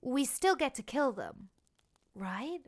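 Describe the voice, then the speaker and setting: A woman playing a brash, impatient, bloodthirsty cultist fighter in a fantasy audio drama, forceful and petulant. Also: high-pitched, very animated, slow, heavily acted. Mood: eager.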